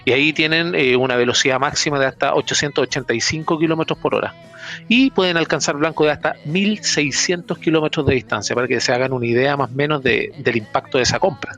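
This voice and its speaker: A man with a Chilean accent, a podcast panelist who explains international news in a relaxed way and often restarts his phrases. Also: fairly high pitch, quick pace, faint music behind. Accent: Chilean Spanish. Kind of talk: casual podcast news roundup.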